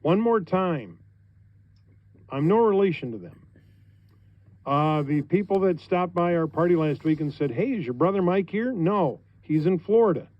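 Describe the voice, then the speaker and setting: A middle-aged man with an American accent, a radio host talking on air in an informal, conversational, halting way. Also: high voice, animated, lo-fi sound. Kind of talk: informal on-air thank-you speech. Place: radio studio.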